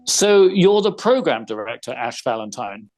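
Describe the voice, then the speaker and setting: Man playing a formal, animated private detective. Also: medium pitch, moderate pace, faint music behind, lightly acted, poor audio. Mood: probing. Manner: formal, precise, questioning. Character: male private detective interviewing suspects, probing and formal. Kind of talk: audio theater acting.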